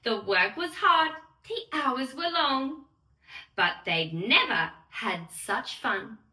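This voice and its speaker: A very bright, high-voiced woman reads a children's bedtime story at an unhurried pace. Her delivery is theatrical and sing-song, addressed straight to little listeners, in an echoey room. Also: big pitch swings. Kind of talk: expressive bedtime story read-aloud for small children. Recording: roomy echo, distant microphone.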